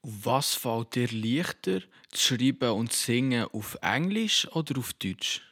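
A man's voice: mid-range voice, animated, unhurried, a bit bright. Interviewer, man, short plain questions.

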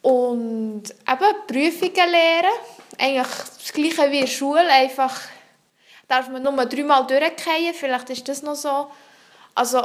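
Young female student, high voice, French; earnest and explanatory, searching for words in an interview. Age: young adult. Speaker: psychology student. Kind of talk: answering interview questions.

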